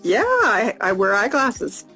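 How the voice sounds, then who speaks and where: An American woman in her fifties hosting a casual live video chat, upbeat and repeating her questions slowly and plainly. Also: mid-range voice, huge pitch swings, pushed and bright, soft background music, rough recording. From United States. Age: 50s.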